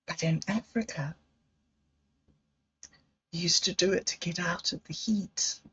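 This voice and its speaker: A woman who grew up in Zimbabwe, telling rambling personal stories on a livestream with frequent laughs and trailing pauses. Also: fairly low pitch, fairly bright tone, slightly rough. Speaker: livestream host. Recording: dull lo-fi sound.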